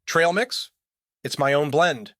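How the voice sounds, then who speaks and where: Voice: medium pitch, slow, a bit bright, no echo, studio quality. An actor playing a quirky, self-assured young conspiracy-minded fan in an audio drama, with a theatrical manner. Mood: quirky, smug.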